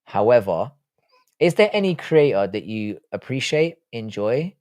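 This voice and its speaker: A man's voice: mid-range voice, very animated, measured pace, darkish tone, no room sound, clean studio sound. A young man, raised in the UK, hosting a live stream and answering viewer questions in blunt, profane, joking chat. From born in Ireland, grew up in the UK. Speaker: livestream host.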